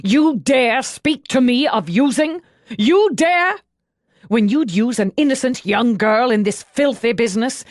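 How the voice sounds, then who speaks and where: A woman's voice: on the high side, dry recording, studio quality, voice pushed up. A woman voicing a stiff, proud, cold male servant in an audiobook, formal, clipped and bitterly protective. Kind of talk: audiobook character dialogue.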